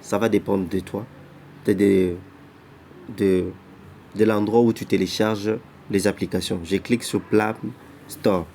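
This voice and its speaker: A man giving a casual walkthrough of an app, halting and often repeating words and restarting phrases, who says he is not an English speaker. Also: lowish voice, fairly even intonation, mellow, a little hiss or hum. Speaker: app tutorial presenter. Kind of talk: casual screen-recorded walkthrough.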